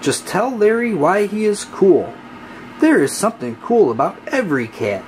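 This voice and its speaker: Narrator doing a mama cat from a picture book, higher voice, lilting, gentle n encouraging. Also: very animated, moderate pace, a little hiss or hum, natural voice, poor audio. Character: mother cat, encouraging and reassuring.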